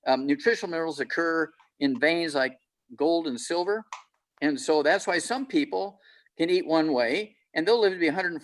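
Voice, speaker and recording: A man's voice: sits high, poor audio. A man in his eighties, a panel guest on a video call, talking boastfully and rambling with many fillers. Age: 80s.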